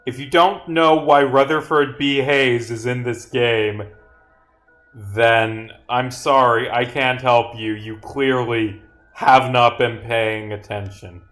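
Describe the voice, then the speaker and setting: A man's voice: medium pitch, steady tone, slow, fairly bright tone. Man thinking aloud over background music. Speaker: game developer livestreaming. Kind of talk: casual livestream chat while working.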